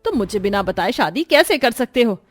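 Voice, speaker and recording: Woman voicing a scolding, strict traditional mother-in-law, higher-pitched. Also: big pitch swings, a little fast, dry recording, clean studio sound, soft background music. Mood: outraged. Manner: indignant, domineering, lecturing. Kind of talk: Hindi moral-story cartoon voice acting.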